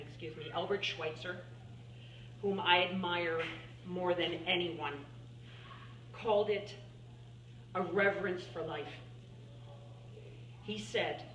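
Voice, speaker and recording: A woman's voice: mid-range voice, fairly bright tone, close to an everyday voice. A woman playing an earnest scientist and writer, giving a formal, emphatic speech to lawmakers in a dramatic monologue. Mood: earnest, impassioned. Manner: deliberate, emphatic, oratorical. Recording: echoey room, distant mic, faint hum.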